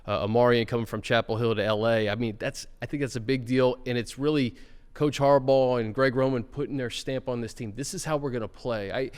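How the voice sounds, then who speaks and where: A man's voice: mid-range voice, a little fast, clean tone. Man hosting a sports show, echoey room. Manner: upbeat intro, then relaxed conversational analysis. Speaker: podcast host.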